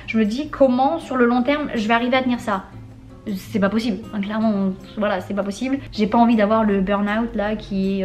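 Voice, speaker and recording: A young woman from France talking candidly in a casual vlog, using slang and laughing often. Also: fairly high pitch. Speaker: vlogger.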